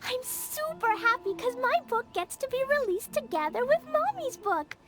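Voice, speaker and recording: A woman voicing an innocent, excitable little girl in a video game, with a childlike, squeaky voice and simple childish phrasing. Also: moderate pace, exaggerated voice. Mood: excited, delighted. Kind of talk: video game character acting. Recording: loud music behind.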